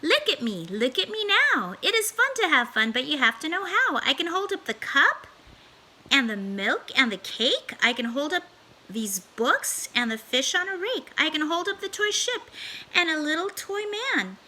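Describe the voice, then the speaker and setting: A woman's voice: high-pitched, very animated, moderate pace, bright, forceful tone, pitched above the performer's own voice, exaggerated voice. A woman voicing a playful, mischievous male cat from a storybook, showy, eager and boastful. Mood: boastful, excited.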